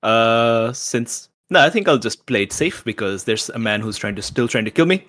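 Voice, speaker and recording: A man's voice: mid-range voice, expressive, moderate pace, fairly bright tone. A man chatting casually as a player in a tabletop roleplaying game, thinking aloud about his moves.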